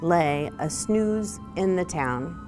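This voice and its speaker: A woman's voice: fairly low pitch, animated, slow, darkish tone. A woman reading a rhyming children's story aloud, with music playing underneath. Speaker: library staff member reading aloud.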